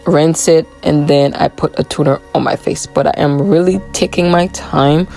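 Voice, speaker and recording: Hoarse woman vlogger, low and gravelly. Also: normal speed, faint music behind, low-quality recording. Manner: relaxed, chatty, many "um" fillers. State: hoarse from a cold. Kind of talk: voiceover narrating a morning routine.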